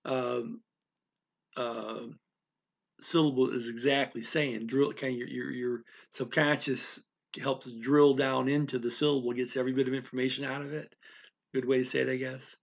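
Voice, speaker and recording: A man's voice: mid-range voice, little pitch movement, moderate pace, creaky. A man giving a casual, rambling language lesson, speaking straight to the learner and checking in with frequent okays. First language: English. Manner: rambling, restarts often, speaks directly to the learner with frequent "okay?". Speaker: language teacher.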